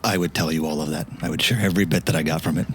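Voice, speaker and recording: A man's voice: rumbling low, fairly even intonation, very fast, slight background noise. A man narrating his character's actions as a player in a tabletop roleplay game.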